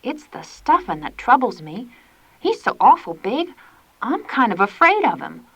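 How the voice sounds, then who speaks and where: A woman's voice: on the high side, big pitch swings, measured pace, fairly bright tone, breathy edge, voice pushed up, full character voice, low-quality recording. A woman voicing a bossy, capable farm girl in an old-fashioned rural storybook, speaking with rustic, commanding phrasing. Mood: fretful.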